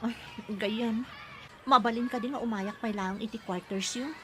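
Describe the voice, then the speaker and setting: A high, bright female voice acted by a woman plays a nanny in a radio drama. She is proud and blunt, with expressive delivery. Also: normal speed, a little hiss or hum. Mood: indignant. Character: proud, blunt young nanny, affectionate with her charge.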